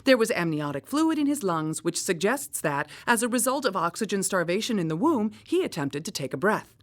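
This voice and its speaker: An actress playing a bluntly sarcastic woman who delivers grim findings with clinical, deadpan irony in a radio drama. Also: mid-range voice, brisk, natural voice. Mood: clinical, sardonic.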